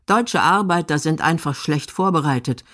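Low-pitched woman narrating a radio documentary. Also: animated, normal speed, a bit bright.

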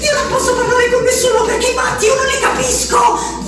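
An actress playing a desperate, furious asylum inmate in a theatrical radio drama monologue, intense, accusing and pleading. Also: female voice, very high voice, flat delivery, a little fast. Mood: anguished, accusing. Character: desperate, furious woman locked in an asylum, writing letters. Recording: very echoey, distant, music behind.

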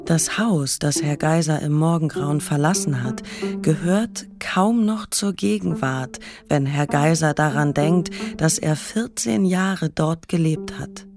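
A woman narrating a literary radio play in a measured, factual manner. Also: deep voice, over music.

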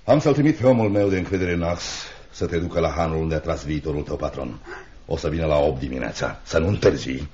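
A man's voice: a bass voice, dark tone. An actor in a Romanian radio play as a stern, scornful, miserly uncle, a brusque and domineering man of business. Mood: sardonic, curt. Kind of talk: Romanian radio theatre acting. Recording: dull, muffled old radio recording.

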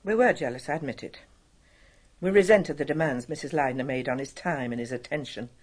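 Reserved English spinster, husky voice, clipped, matter-of-fact, frank, radio play. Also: female voice, very deep voice, brisk, darkish tone. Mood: frank, resentful. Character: brisk, reserved spinster expedition assistant, devoted and frank. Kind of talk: radio drama acting.

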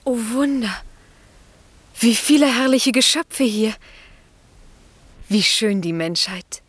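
A woman's voice: on the high side, expressive, no echo. A woman playing an innocent, wonderstruck young maiden, speaking verse rapturously in a classic radio play. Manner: slow, enraptured.

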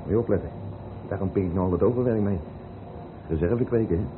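An actor playing a busy, matter-of-fact but fond working husband in a naturalistic radio play, speaking casually and familiarly. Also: male voice, fairly low pitch, quick pace, noisy background, natural voice. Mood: casual, wry. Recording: muffled old radio play recording.

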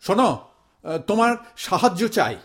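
A man acts out a middle-aged Bengali family man in an audio drama, with a high-pitched voice. He is pompous and indignant, declaiming like a self-appointed protest leader and hectoring whoever is listening. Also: sing-song, normal speed. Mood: businesslike. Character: self-important, indignant Bengali husband and father, zealous would-be protester. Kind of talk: stage-style radio play acting.

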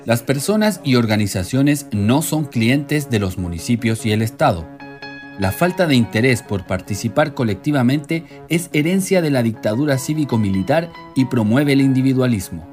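A man's voice: medium pitch, expressive, moderate pace, darkish tone. A man reading scripted, informational radio narration. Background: music.

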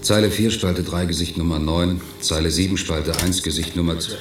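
An actor as a deep, tormented test subject, echoey. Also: male voice, steady tone, moderate pace, background noise, lightly acted. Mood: detached, mechanical. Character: tormented, terse male test subject, strained and bitter. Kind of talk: German radio play acting. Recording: echoing room with music behind.

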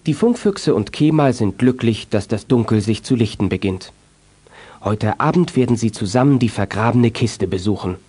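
A man's voice: fairly low pitch, lively intonation, normal speed, a little mellow, husky. A man narrating a children's radio play in a scripted storytelling style.

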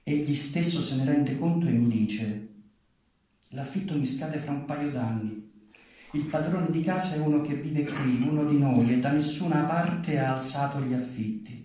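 A man speaking and reading at a live literary event, with a halting delivery. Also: on the high side, a little mellow. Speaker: writer reading at a public event. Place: large reverberant venue, live event. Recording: very echoey hall, distant from microphone.

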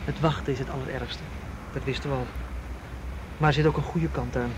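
A man's voice: mid-range voice, fairly even intonation, brisk, natural voice. A man playing a tense, self-assured getaway planner in a naturalistic radio drama, with a clipped, instructing manner. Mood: tense, confident. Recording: dull, noisy radio drama recording.

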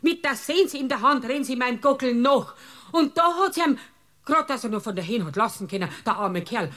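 Indignant high-voiced Bavarian village woman. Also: animated, brisk, fairly bright tone. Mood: aggrieved storytelling. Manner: aggrieved, dramatic storytelling. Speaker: witness in a courtroom drama. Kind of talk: dialect radio comedy acting.

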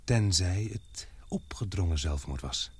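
An actor playing an earnest, insistent expedition member in a Dutch radio play, explaining things deliberately with dramatic pauses. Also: male voice, low voice, expressive, darkish tone, slightly rough, exaggerated voice. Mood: grave. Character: earnest, insistent male expedition member uncovering a grim truth.

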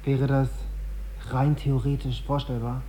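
An actor playing a defiant, brooding young journalist in a radio drama, with a tense, intimate and bitter manner. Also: male voice, medium pitch, level tone, measured pace, mellow, slight background noise, natural voice, rough recording. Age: young adult. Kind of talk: radio drama acting with first-person narration.

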